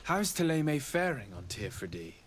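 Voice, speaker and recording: A man playing a polite young nobleman and diplomat in a fantasy video game, speaking in a measured, formal way. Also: a little high, very animated, background noise. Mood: curious.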